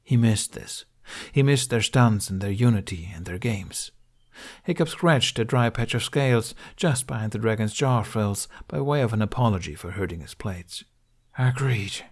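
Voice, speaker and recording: A man reading fiction as an audiobook narrator, with expressive, dramatic storytelling. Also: fairly low pitch, normal speed.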